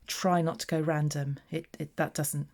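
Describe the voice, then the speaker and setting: Low-voiced chatty crafter. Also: female voice, little pitch movement, normal speed, darkish tone. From southeast England. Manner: chatty, narrating her own hands-on work. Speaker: papercraft demonstrator. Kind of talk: casual craft tutorial chat.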